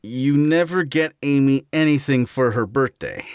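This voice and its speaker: A man's voice: medium pitch, moderate pace, very noisy, natural voice. A man voicing an easygoing, goofy hedgehog hero in a fan audio drama, casual and playful. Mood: casual, curious.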